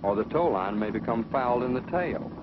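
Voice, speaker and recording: An American man narrating a 1940s instructional film in a measured, authoritative, dryly sardonic manner. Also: medium pitch, animated, heavy background noise. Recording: dull old film soundtrack, music behind.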